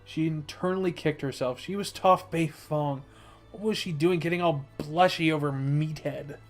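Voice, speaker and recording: A man reading fan fiction as narrator, in an expressive storytelling style. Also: on the high side, normal speed, faint music behind.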